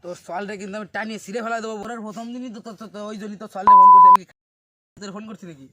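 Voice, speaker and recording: A man making prank phone calls, brash and needling in loud, excited chatter, as host of the show. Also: high voice, very fast, lo-fi sound. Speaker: prank caller and channel host.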